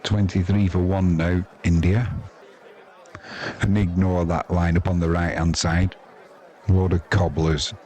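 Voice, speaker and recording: Male English sports commentator, low-pitched, chatty and blunt with dry humour, faint crowd behind. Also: flat delivery, measured pace, pauses often, mellow. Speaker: live sports commentator and host. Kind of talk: casual ball-by-ball cricket commentary.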